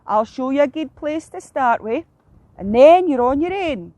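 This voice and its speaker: A woman voicing a no-nonsense mother heron in a children's story, briskly instructing in a Scottish accent. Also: high voice, expressive, dark tone, no room sound. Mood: brisk, firm.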